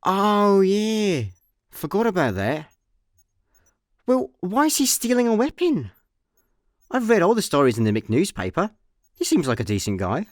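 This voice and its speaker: Man as a animated, easygoing Scottish space slacker. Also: high voice, measured pace, long pauses, no echo, studio quality, full character voice. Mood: flippant. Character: easygoing, scatterbrained intergalactic slacker sidekick. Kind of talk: comedic sci-fi radio play.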